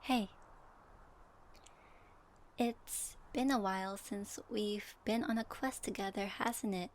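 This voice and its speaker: A woman playing a gentle, curious young female traveler in a soft ASMR audio roleplay, pausing as if for an unheard partner. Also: mid-range voice, slight background noise, lightly acted. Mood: soft, earnest.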